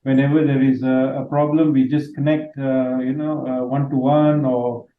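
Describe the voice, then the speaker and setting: A man's voice: medium pitch, level tone, normal speed. A man from Malaysia chatting on a group video call, hesitant with many fillers and thankful in tone. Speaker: trading group member. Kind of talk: casual group call chat. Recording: tinny echoey call line.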